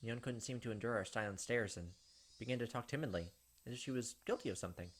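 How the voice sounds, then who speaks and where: A man's voice: medium pitch, quick pace, slight background noise. A man hosting a gaming video, reading visual novel narration and commenting casually.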